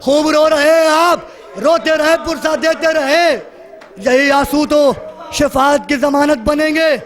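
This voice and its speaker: A man's voice: extremely high pitch, fairly even intonation, a bit bright. A man delivering an emotional mourning sermon, telling a sacred story in an impassioned, lamenting and dramatic way. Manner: impassioned, lamenting, acting out dialogue within narration. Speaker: religious orator leading a mourning gathering.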